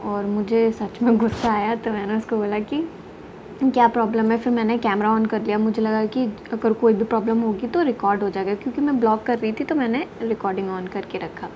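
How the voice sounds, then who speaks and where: A woman's voice: a little high, steady tone, a little fast, a little mellow, lo-fi sound. A young woman in her twenties chatting casually to a phone camera, vlogging about her travels and mixing English words into her Hindi. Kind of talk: casual vlog chat. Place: small bedroom at home, indoors. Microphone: phone camera at arm's length. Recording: noisy train and station ambience.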